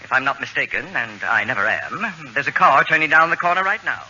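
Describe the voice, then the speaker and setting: A man's voice: medium pitch, expressive, fairly quick, pushed and bright. An actor playing a pompous, acerbic, self-assured male babysitter with haughty, precise diction in a 1940s radio comedy. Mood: smug, condescending. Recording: dull, noisy old radio recording.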